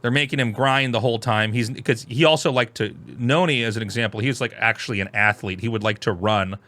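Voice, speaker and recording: An American man hosting, reacting to a video in casual commentary, animated and full of restarts. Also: mid-range voice, quick pace. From United States. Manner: animated, restarts often, exclaims loudly.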